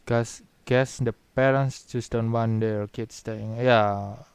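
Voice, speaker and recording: A man's voice: medium pitch, steady tone, slow pace, smooth. A man with an Indonesian accent, a native Indonesian speaker, reacting wryly and casually to a game as he streams. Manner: wry, echoes and answers the game dialogue. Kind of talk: casual live gameplay reaction.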